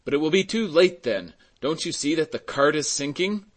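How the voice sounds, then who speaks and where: A storybook peasant bystander, acted by a male narrator. He sounds plain-spoken and doubtful, slightly higher in voice, objecting matter-of-factly. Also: expressive, normal speed, natural voice. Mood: anxious, doubtful.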